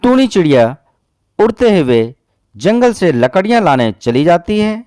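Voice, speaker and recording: Lively man narrating a children's story. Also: high voice, measured pace, no room sound, clean studio sound.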